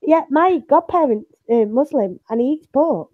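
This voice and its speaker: A woman chatting on a casual stream, giggling constantly and going hoarse from laughing. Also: sits high, animated, normal speed, dry recording, narrow, tinny audio. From Britain. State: losing voice from laughing. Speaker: co-host artist.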